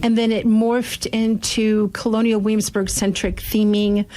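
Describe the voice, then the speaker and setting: A woman explaining things as a radio interview guest, articulate and promotional, with measured phrasing. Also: medium pitch, flat delivery, warm tone. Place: radio studio. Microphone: studio microphone.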